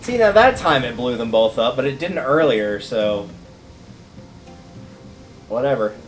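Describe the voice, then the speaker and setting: A man's voice: medium pitch, very animated, measured pace, poor audio. A man giving casual live commentary on a video game, thinking aloud in colloquial language. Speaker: game livestreamer. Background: video game music.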